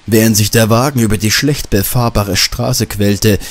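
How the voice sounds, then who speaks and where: A man's voice: lowish voice, steady tone, normal speed. A man narrating a scripted horror story as an audiobook.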